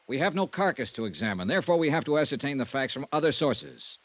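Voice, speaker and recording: An actor playing a dogged, wry insurance investigator in old radio noir, direct and skeptical. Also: male voice, mid-range voice, sing-song, fast, dry recording, lo-fi sound. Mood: insistent, pressing. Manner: hard-boiled, direct, skeptical. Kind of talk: 1950s radio noir acting and narration.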